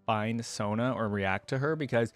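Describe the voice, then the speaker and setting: A man's voice: medium pitch, normal speed, faint music behind. A man, giving casual, rambling reaction commentary. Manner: rambling, musing. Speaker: reaction video host. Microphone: close desk microphone, headset on.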